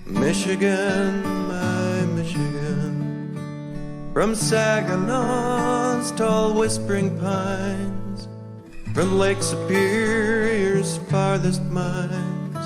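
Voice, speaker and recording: A woman's voice: medium pitch, fairly even intonation, drawn-out words. A woman singing a patriotic anthem. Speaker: singer. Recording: song with loud guitar accompaniment. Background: plucked guitar music.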